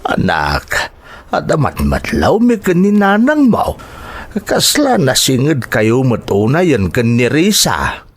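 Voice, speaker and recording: Wary Filipino father played by a male actor, husky, slow, with big pitch swings, audio drama. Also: wildly swooping pitch, exaggerated voice. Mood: wary, concerned. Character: cautious, suspicious father of a grown son.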